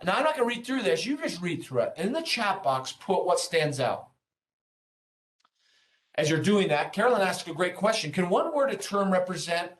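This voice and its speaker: Man, slightly high voice, webinar trainer, informal explaining, encouraging, checks in with listeners. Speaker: webinar presenter, senior consultant and trainer. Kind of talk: interactive business lesson, explaining earnings call.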